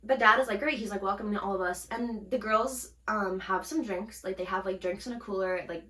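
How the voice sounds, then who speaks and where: A young woman co-hosting a casual podcast, telling a story in an animated way, acting out quotes and laughing often. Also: medium pitch, fairly quick, roomy sound. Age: young adult. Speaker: podcast co-host.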